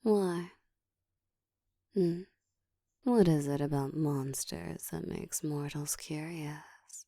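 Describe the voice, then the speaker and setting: A woman voicing a seductive, dominant ancient vampire in an intimate ASMR roleplay, teasing and commanding, often slipping into a soft whisper. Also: takes it slowly, pauses often, no echo, studio quality, heavily acted. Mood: seductive, taunting. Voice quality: soft, breathy whispering mixed with low murmur.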